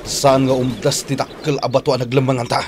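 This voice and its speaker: An actor with a Filipino accent playing a tough, loyal comrade in a gunfight, urgent and protective, in a radio drama. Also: male voice, medium pitch, level tone, a little fast, background noise, lightly acted. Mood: tense, wary.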